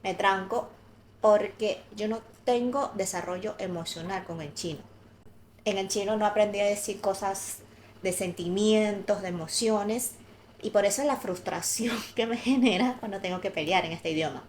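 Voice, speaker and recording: A woman's voice: medium pitch, normal speed, slight background noise. Woman telling candid personal stories. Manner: candid storytelling with light laughter. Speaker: podcast co-host. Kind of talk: casual conversational podcast chat.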